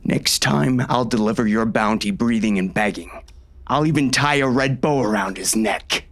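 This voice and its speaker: A man's voice: medium pitch, normal speed, lightly acted. An actor playing a hard-bitten western sheriff and grieving, furious father, narrating a dramatic audiobook in an intense, menacing way. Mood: angry, threatening.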